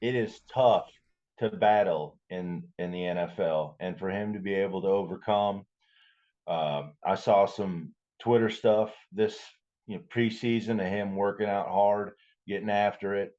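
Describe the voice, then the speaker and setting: A man with a fairly low voice talks sports casually as a podcast co-host. His delivery is informal, and the recording sounds a little dull and muffled. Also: unhurried, darkish tone.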